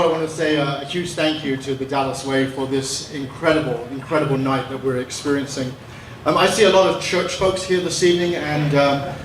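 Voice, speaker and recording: East Texas pastor speaking outdoors. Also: male voice, on the high side, heavy background noise. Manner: warm joking, with filler ums and uhs. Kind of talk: public speech at outdoor ceremony. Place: outdoor street gathering. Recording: echoey from far off.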